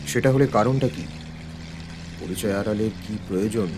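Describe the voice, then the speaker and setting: An actor playing a composed, probing police detective in charge, questioning in a measured way in an audio crime drama. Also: male voice, on the low side, background noise, natural voice.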